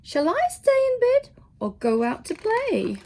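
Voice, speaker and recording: A woman acts out a hapless, impulsive storybook man. The voice is high and swooping, with an exclamatory, sing-song delivery made for a children's picture book. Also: huge pitch swings, a little slow, mellow, full character voice. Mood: musing.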